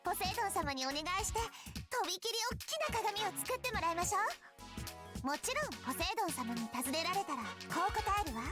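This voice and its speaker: Mocking goddess sisters. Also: female voice, very high-pitched, very animated, very fast. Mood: teasing. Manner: sing-song, teasing. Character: two teasing goddess sisters, haughty and mocking. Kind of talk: visual novel voice acting. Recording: game audio with music.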